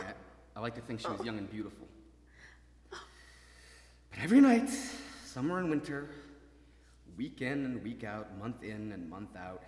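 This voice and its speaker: An actor playing a maimed young soldier, desperately hopeful, in a halting, intense dramatic monologue that moves between murmurs and cries. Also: male voice, fairly low pitch, slight background noise. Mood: wistful. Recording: reverberant hall, stage performance.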